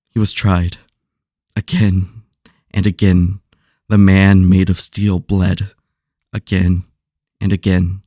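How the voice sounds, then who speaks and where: A man plays a noble superhero burdened by anguish in an audio drama. His low voice is solemn and halting, with long, slow phrasing. The sound is very dry. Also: darkish tone, no room sound.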